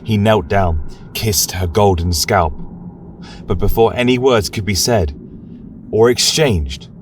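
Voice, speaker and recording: A man's voice: on the low side, slow, far from the mic, slight background noise. A man narrating a scripted fantasy tale in a solemn, dramatic style as a podcast storyteller.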